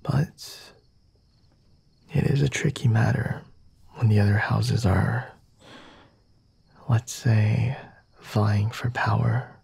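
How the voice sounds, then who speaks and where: A man plays a refined, flirtatious vampire lord in an intimate ASMR roleplay. His voice is very breathy and slips often into whispers, with a creaky, gravelly edge. He speaks slowly and formally, teasing the listener in a mellow tone, recorded close and dry. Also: on the low side, steady tone, dry recording, full character voice. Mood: smooth, teasing. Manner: formal, teasing, measured and deliberate. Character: flirty, refined male vampire master, coy and unhurried.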